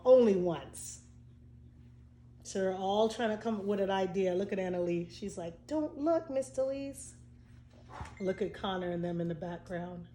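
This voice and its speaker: A woman teacher reading a storybook aloud to children, expressive and speaking directly to young listeners. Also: medium pitch, slight background noise. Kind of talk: storybook read-aloud with asides. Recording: echoey room.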